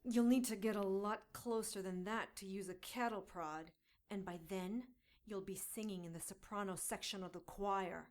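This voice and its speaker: A woman's voice: mid-range voice, steady tone, moderate pace, natural voice. An actress playing a brash, defiant captive witch in an audio drama, sassy and emphatic. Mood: furious, defiant. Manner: emphatic, hot-headed, sassy.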